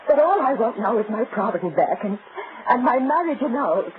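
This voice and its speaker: An anxious wife, played by a woman in an old radio melodrama, hesitant and pleading. Her pitch rises and falls dramatically. The recording is muffled and clearly noisy. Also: expressive, brisk, close to an everyday voice. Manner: hesitant, plaintive, breaking off and repeating words. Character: anxious, pleading wronged wife, thirty-six. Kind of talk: old-time American radio drama acting.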